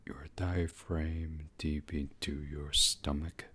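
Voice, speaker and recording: A man leading a guided sleep meditation in a hushed, breathy, unhurried voice that often slips toward a whisper, soothing and instructional. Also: rumbling low, little pitch movement.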